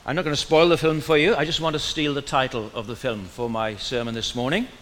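A man's voice: medium pitch, steady tone, quick pace, a bit bright, in a large hall. A man with a Welsh accent preaching a sermon in a conversational way, often asking his listeners questions.

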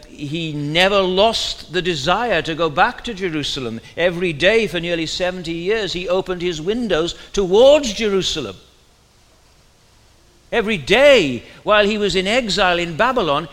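Welsh male preacher, higher than usual, conversational sermon, hall echo. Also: fairly bright tone. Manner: conversational, asks the listeners questions.

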